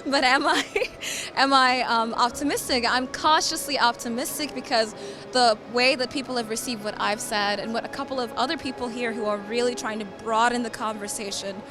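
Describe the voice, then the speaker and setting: A woman's voice: a little high, fairly even intonation, brisk. A young woman from Sudan, raised in Philadelphia, answering interview questions about her poetry in an earnest, reflective way, often repeating words as she thinks. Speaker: poet and climate refugee advocate. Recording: clear background music under voice.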